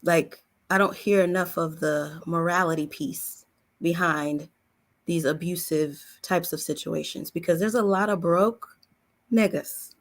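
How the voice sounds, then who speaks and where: Direct, low-voiced woman on a panel. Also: measured pace. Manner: direct, emphatic, conversational. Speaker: panel guest. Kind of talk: casual panel discussion.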